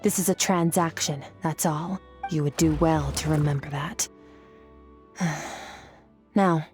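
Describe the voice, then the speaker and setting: Aloof, low-voiced warrior woman. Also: fairly even intonation, a little mellow, soft background music. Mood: curt, cold. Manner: measured, matter-of-fact, curt. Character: aloof, powerful female warrior mentor, cold and blunt. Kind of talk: video game character acting.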